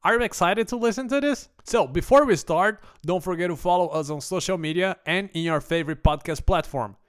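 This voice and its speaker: A man's voice: sits high, fairly quick, clean tone. A man hosting a language podcast, reading an intro and asking questions with non-native phrasing. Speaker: podcast host.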